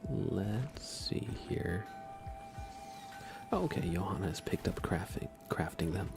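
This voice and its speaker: Male ASMR gaming streamer: very low, fry-heavy voice, soft and unhurried, musing commentary. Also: animated, music in the background. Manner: hushed, unhurried, musing aloud. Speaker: live streamer.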